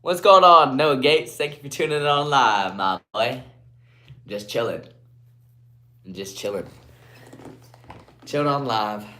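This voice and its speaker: A young man from Guatemala on a casual live stream, reading comments aloud and answering in a laid-back way, often trailing off quietly, through a phone propped on a ledge. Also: mid-range voice, unhurried, long pauses. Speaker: livestreamer.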